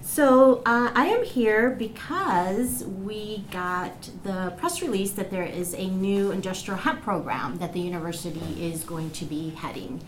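A woman's voice: medium pitch, measured pace, hardly any pauses, a little hiss or hum. A woman hosting a radio podcast interview, asking questions in a friendly way. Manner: friendly, asks questions, laughs lightly.

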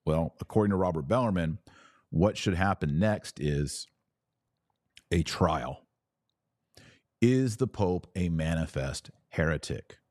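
A man hosting a religious commentary podcast, reading passages aloud and speaking in deliberate, emphatic phrases with rhetorical questions. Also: deep voice, lively intonation, darkish tone, no echo, studio quality. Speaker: podcast host and author.

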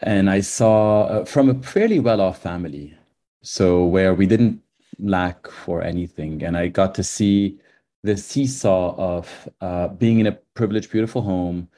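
A man from Lebanon, a guest in a podcast interview, answering in a thoughtful way with many pauses and fillers. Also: low voice, warm tone. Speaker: guest, nonprofit CEO.

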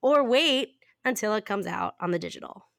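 Giggly raspy woman podcast host. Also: medium pitch, animated, normal speed, a bit bright, no echo, clean studio sound. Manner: giggly, rambling storyteller who laughs often. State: hoarse with a lingering cough. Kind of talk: casual chat.

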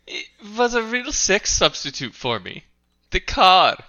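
A person in a casual chat who reads a profile haltingly and stumbles on words. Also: medium pitch, very animated, sharp tone, lo-fi sound. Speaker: co-host reading aloud.

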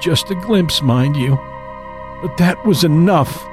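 A man's voice: on the high side, lively intonation, slow, over music, voice pushed up. A man voicing a frail, rambling old grandfather who tells a horror story in the first person. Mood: eerie storytelling.

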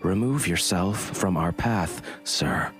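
A man voicing a gentle male alien creature who speaks formally and courteously. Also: deep voice, lively intonation, takes it slowly, faint music behind, full character voice. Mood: stern.

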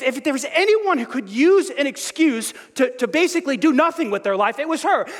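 High-voiced pastor preaching in a reverberant hall. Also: male voice. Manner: lively, self-mocking, restarts often. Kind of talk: sermon.

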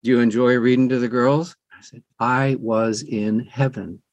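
A man in his sixties chatting as an interview guest, telling personal stories in an animated way and acting out quoted dialogue. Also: medium pitch, moderate pace, dry recording, muffled recording. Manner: animated storyteller who acts out quoted dialogue. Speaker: guest, audiobook narrator. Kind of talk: conversational personal storytelling.

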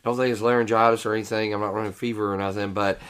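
Hoarse, low-voiced male co-host. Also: racing. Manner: easygoing, relaxed, laughs often. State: hoarse, losing his voice. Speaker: podcast co-host, repair expert. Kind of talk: casual chat.

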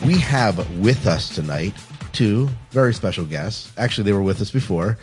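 A man's voice: lowish voice, lively intonation, normal speed, music in the background. A man hosting a podcast, chatting casually as he runs through show announcements. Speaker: podcast host.

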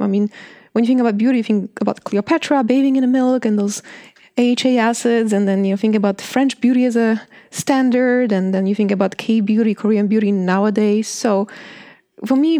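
A woman from Poland in her late thirties, speaking English with a heavy Polish accent and a fairly high voice. She answers interview questions chattily, full of fillers and restarts. The sound is close and dry. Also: fairly even intonation, a little fast, dark tone, dry recording. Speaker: beauty industry guest.

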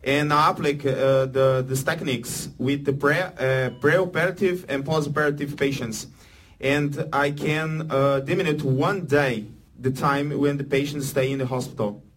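A man from Brazil with a Brazilian Portuguese accent, a surgeon speaking halting non-native English to an audience. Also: on the high side. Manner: halting non-native English, animated when acting out patients. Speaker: orthopedic surgeon speaking as guest. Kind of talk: unscripted talk to an audience.

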